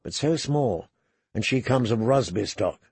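A man's voice: medium pitch, animated, a little slow, a little mellow, slightly rough, no room sound, full character voice. A man voicing a proud, practical noble mother in an audiobook. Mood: wary.

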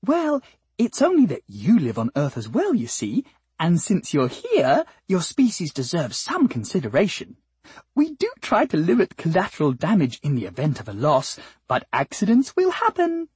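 A woman voicing a female alien rose bush in an audiobook, with a breathy, sweet voice and a polite, wheedling, nervous manner. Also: on the low side, wildly swooping pitch, normal speed, a little mellow, dry recording, pitched above the performer's own voice, exaggerated voice. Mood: sweetly wheedling.

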